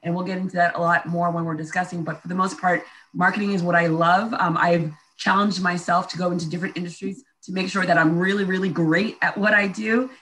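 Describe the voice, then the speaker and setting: Brief: American woman in her thirties, bright and forceful, quick and passionate. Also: on the low side, fairly even intonation, rough recording. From USA, first generation. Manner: fast, passionate. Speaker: marketing speaker. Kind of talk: panel introduction on video call. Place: indoors, plain room.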